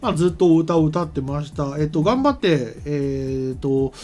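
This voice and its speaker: A man's voice: fairly high pitch, normal speed, darkish tone. A middle-aged man hosting a show, chatting casually and thinking aloud as he rambles through announcements. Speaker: show host and scriptwriter. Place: small studio set, indoors. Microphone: desk microphone on a stand.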